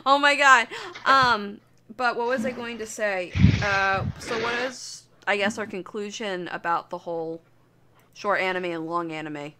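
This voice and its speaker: Female podcast co-host, bright tone, mid pitch, hesitant and apologetic, casually sweary. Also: measured pace, slight background noise.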